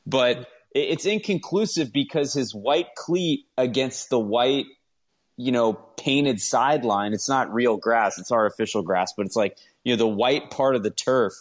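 A man's voice: mid-range voice, unhurried. A man chatting casually as a sports show host, emphatic and sarcastic with mock outrage. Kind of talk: casual sports fan chat.

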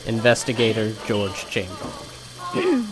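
An actor playing a cold, curt male corporate field agent who talks in a flat, clipped, deadpan way. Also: mid-range voice, slow, crowd noise, rough recording. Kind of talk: audio drama acting.

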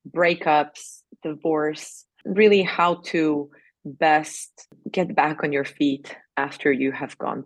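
A woman's voice: low voice, no echo. A woman in her forties from Serbia, a life coach answering interview questions in an open, personal way. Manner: open and personal, with fillers and restarts.

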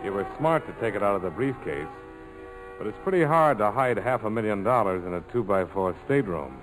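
An actor playing a cool, domineering adventurer, wry and terse, in a 1940s radio drama. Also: male voice, fairly low pitch, lively intonation, brisk, faint music behind, lightly acted, low-quality recording. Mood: cool, taunting. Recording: vintage radio broadcast.

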